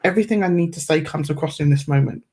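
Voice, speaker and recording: A woman who is a guest on a podcast, speaking in a reflective, measured way and choosing her words carefully. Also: deep voice, darkish tone, slight background noise. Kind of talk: reflective conversational interview.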